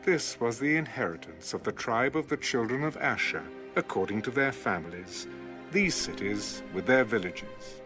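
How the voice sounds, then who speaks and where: Man narrating scripture with lively intonation. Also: medium pitch, moderate pace, over music. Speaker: Bible narrator.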